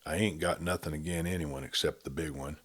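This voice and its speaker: A man voicing a soft-spoken, half-wild young western drifter in an audiobook, with a gentle, unemotional rustic drawl. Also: rumbling low, steady tone, a little fast, husky, voice pushed down. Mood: gentle, earnest.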